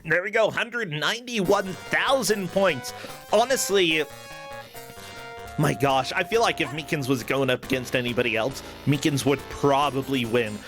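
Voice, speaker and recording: Male esports commentator, slightly high voice, enthusiastic and chatty, with clear music underneath. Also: a little slow, a bit bright. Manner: enthusiastic, conversational. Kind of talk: live gaming tournament commentary. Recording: game music behind the voice.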